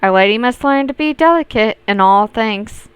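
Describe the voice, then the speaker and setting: A woman's voice: a little high, expressive, moderate pace, smooth, no room sound, natural voice. Woman as a poised, sly young lady swindler. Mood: polite, poised. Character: sly young lady con artist, vain, outwardly polite.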